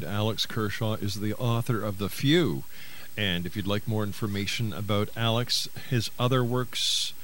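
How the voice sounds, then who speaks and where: A man hosting a radio show, interviewing a guest and reading out announcements. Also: on the low side. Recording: hissy radio broadcast.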